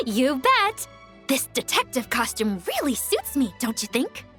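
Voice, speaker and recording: Game casting: high, bouncy, eager reporter girl, music under. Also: wildly swooping pitch, takes it slowly, bright, forceful tone, exaggerated voice. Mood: perky. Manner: perky, theatrical, upbeat. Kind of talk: video game voice acting.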